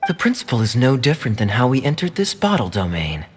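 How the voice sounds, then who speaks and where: A man voicing an articulate young male scholar in a game dub, explaining things in a measured, analytical way. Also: medium pitch, huge pitch swings. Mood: thoughtful. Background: game music.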